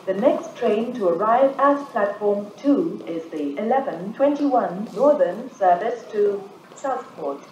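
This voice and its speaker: A British woman makes formal automated train station announcements. She speaks slowly, in measured, pieced-together phrases. The sound is echoey, with clear noise behind her. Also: mid-range voice, lively intonation, a little mellow, lo-fi sound. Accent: British English.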